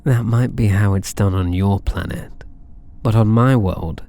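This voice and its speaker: A man's voice: fairly low pitch, mellow. A British man playing a possessive, flirtatious alien catboy thief in an intimate ASMR roleplay, teasing and doting. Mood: smitten, wistful. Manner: teasing, doting, purring endearments, unhurried.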